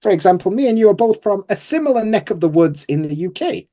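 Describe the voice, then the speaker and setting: A man from Yorkshire talks in a casual interview, in a mellow voice with a very lively rise and fall. He has a Yorkshire accent and a chatty, informal, self-deprecating way of speaking. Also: high voice, fast. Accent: Yorkshire English. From Yorkshire, England. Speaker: guest, English teacher.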